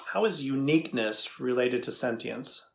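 Casting: middle-aged male researcher acted by a man, thoughtful and inquisitive, creaky husky voice. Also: medium pitch. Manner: deliberate, inquisitive. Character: thoughtful, curious male AI researcher interviewing a machine. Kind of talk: dramatized transcript reading. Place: indoors before a studio backdrop.

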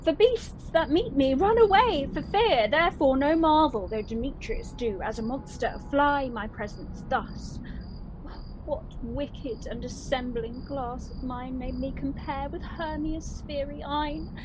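A woman's voice: high-pitched, a little slow, background noise. A woman acting a lovesick, self-pitying young noblewoman in a theatrical Shakespearean radio play. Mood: breathless self-pity. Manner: theatrical, indignant, swooping.

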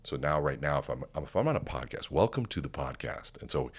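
Very deep, calm, resonant man. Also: breathy edge. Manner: deliberate and calm, practiced resonant baritone with measured pauses. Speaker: podcast guest, speaker coach. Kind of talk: conversational podcast interview. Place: podcast studio with curtained backdrop. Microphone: studio microphone on a stand, headphones on.

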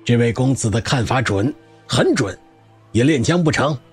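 An actor playing a hearty old wandering spear master in an audio drama, garrulous, folksy and emphatic. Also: male voice, medium pitch, lively intonation, normal speed, soft background music, natural voice. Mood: curious, polite.

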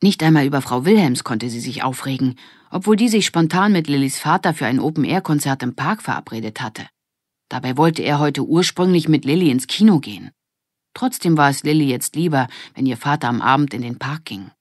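A woman's voice: deep voice, lively intonation, brisk, slightly rough, no room sound, clean studio sound. A woman reading a scripted children's story as an audiobook narrator.

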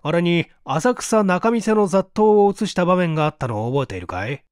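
A man's voice: sits high, lively intonation, moderate pace, a little mellow, smooth, no echo, clean studio sound. A man voicing a smug, eager young man in a storybook reading, confident and teasing. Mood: smug, explaining.

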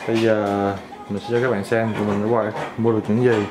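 A man's voice: on the low side, brisk, music in the background. Young man chatting through a meal prep. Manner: explains step by step, relaxed and chatty. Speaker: vlog host. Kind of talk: casual cooking vlog narration. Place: home kitchen, indoors.

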